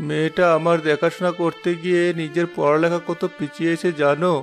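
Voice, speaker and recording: Man playing a resigned, ailing father, flat delivery. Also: fairly high pitch, brisk, soft background music, natural voice. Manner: resigned, subdued. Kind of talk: Bengali fairy-tale cartoon voice acting.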